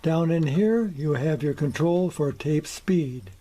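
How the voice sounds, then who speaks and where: An older man in his seventies narrating a scripted demonstration in a measured, methodical way. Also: a little high, warm tone. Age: older, around 70s. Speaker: presenter of vintage electronics. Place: small indoor electronics workshop.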